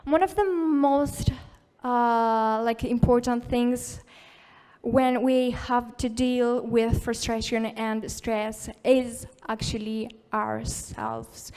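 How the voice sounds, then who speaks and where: A woman's voice: fairly high pitch, darkish tone, clean tone. A woman speaking at a tech conference, encouraging and informal, with plenty of "like" and "uh" fillers. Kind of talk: live conference talk. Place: conference hall.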